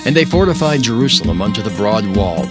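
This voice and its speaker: A man narrating scripture for an audio drama in a solemn, formal and measured way. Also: on the low side, very animated. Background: music.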